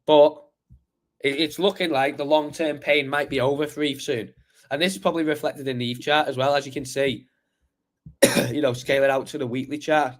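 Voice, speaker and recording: A man hosting a crypto livestream with a slightly croaky voice, talking through charts in a chatty, rambling way with many fillers and restarts. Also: mid-range voice, steady tone, normal speed. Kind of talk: casual live chart analysis.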